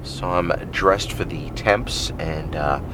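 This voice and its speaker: A hoarse man with a nasal, stuffy voice rambles casually from inside a car, full of ums and uhs. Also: deep voice, takes it slowly. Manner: rambling, chatty, with many fillers. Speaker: running podcast host. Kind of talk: casual monologue. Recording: loud car road noise.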